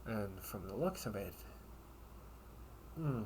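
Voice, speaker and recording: A man playing a loyal young knight in an audio roleplay, a caring, gently teasing friend who speaks in halting, formal phrases. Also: on the low side, lively intonation, background noise. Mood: gentle concern. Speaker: amateur audio roleplay performer. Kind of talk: intimate audio roleplay acting.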